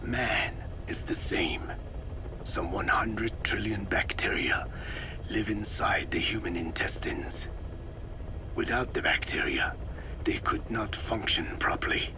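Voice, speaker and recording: An actor playing a very old, wise Navajo scientist in a video game, solemn and lecturing, with a raspy, breathy croak. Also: male voice, deep voice, in a whisper. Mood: calm lecturing. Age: older. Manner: slow, deliberate, lecturing. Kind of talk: scripted dramatic game dialogue.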